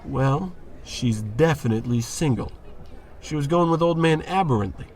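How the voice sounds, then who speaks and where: A man playing a brash, dim, excitable barfly with slangy, cocky talk in a 1940s radio comedy style. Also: fairly high pitch, moderate pace, close to an everyday voice. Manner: slangy, cocky, easily flustered.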